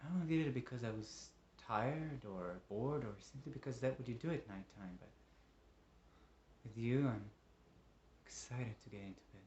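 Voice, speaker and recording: A man performing a dramatic monologue as a lonely, embittered father, emotive and moving between hushed and agitated. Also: medium pitch, moderate pace, slight background noise, lightly acted. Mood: wistful. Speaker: monologue actor. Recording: roomy, echoing room.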